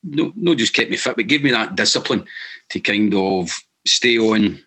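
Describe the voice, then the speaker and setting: Scottish guest, middle-aged man, lowish pitch, earnest halting delivery. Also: lively intonation, pushed and bright. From Scotland. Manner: earnest, halting, many restarts. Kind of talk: casual storytelling.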